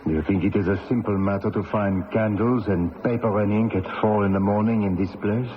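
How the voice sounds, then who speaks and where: An actor playing a dutiful prison guard lieutenant in a radio drama, plain-spoken, gruffly courteous and deferential. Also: male voice, lowish voice, steady tone, brisk, close to an everyday voice. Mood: gruff, patient. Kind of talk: 1970s radio drama acting. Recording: dull old radio recording, reverberant, faint noise.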